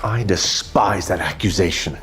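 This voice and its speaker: A man's voice: low-pitched, normal speed, a bit bright, slight background noise. A man playing a smug, creepy aristocratic villain in an audio drama, with oily, mock-courteous delivery. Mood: feigned offence.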